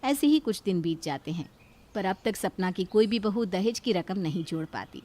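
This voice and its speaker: A woman's voice: mid-range voice, animated, moderate pace, dark tone. A woman reading scripted narration for a cartoon moral story as its storyteller.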